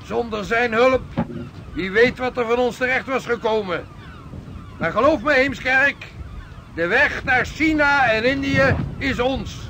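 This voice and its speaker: An actor playing a driven, devout sixteenth-century ship's captain in a radio drama, speaking formally and with command. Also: male voice, extremely high pitch, unhurried, noisy background. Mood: earnest, elated.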